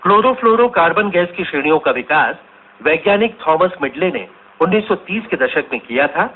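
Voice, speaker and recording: Husky, high-pitched male reporter. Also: expressive, fairly quick. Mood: explanatory. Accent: Indian. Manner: formal, expository. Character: male radio science reporter explaining facts. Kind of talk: scripted radio report. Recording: muffled, phone-like radio broadcast sound.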